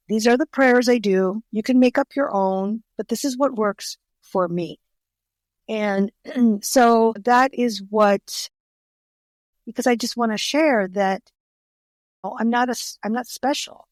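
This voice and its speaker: A woman's voice: medium pitch, dry recording. An older woman whose first language is Greek, telling stories earnestly in an interview as an author guest and often restarting her words. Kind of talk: conversational interview storytelling.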